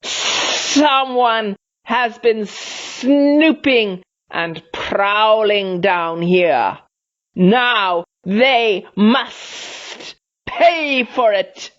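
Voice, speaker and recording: A woman voicing an angry female swamp creature with a long thin body, hissing out slow, menacing threats in a storybook reading. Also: on the high side, muffled recording, voice pushed up.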